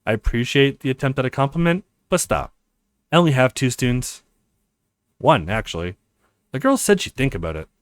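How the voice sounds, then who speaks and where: A man's voice: mid-range voice, very animated, a little fast, pauses often, dry recording, natural voice. A discouraged young dance teacher played by a male reader, skeptical and downcast. The delivery rises and falls widely, recorded dry for visual novel dialogue. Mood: doubtful.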